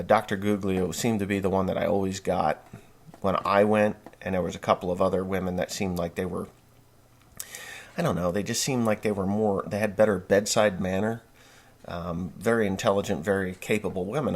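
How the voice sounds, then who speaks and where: Man in his forties, very deep voice, gravelly, blunt folksy rambling talk. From New Jersey, USA. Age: 40s. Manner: blunt, folksy, many fillers and restarts. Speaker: podcast host. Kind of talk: casual rambling monologue.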